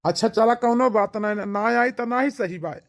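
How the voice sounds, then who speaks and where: A man's voice: sits high, normal speed. A man playing an anxious, pleading village husband in an amateur cartoon, speaking with an Awadhi accent in a plaintive way. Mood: consoling. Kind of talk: amateur comedy cartoon dialogue in rural dialect.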